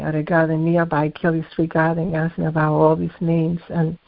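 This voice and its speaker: Measured man on a tinny phone line. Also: a little high, vocal fry. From Dominican Republic. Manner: measured, searching, with frequent restarts. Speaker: artist guest. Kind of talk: reflective interview talk.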